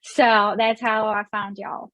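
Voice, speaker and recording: A woman's voice: medium pitch, little pitch movement, slow, sharp tone, no echo, rough recording. A woman in her forties from Russia with a Russian accent, chatting as an interview guest, with many fillers and restarts. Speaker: guest, sales director. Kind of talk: casual interview.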